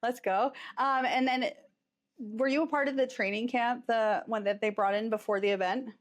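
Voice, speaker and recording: A woman's voice: fairly high pitch, steady tone, moderate pace. A woman hosting a podcast, chatting casually as she interviews a guest.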